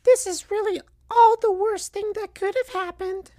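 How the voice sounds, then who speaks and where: Small cartoon boy, played by a woman: squeaky high pitch, mournful, childlike. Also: fairly even intonation, normal speed, mellow, dry recording, studio quality. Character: tiny sad cartoon child, male.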